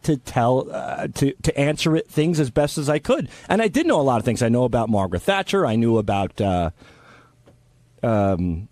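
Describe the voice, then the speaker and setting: Animated guy on radio, blustery and defensive, casual sweary talk, pitch all over, dry mic. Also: normal speed, no room sound. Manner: blustery, defensive, stammering repeats. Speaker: radio show regular, interviewed guest. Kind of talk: casual profane banter.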